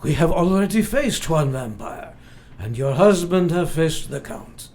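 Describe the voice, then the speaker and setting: A man's voice: fairly high pitch, animated, unhurried, a little mellow. A man acting a grave, protective older vampire-hunting scholar in a radio play, speaking in formal, old-fashioned phrasing with foreign-sounding grammar. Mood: protective, earnest.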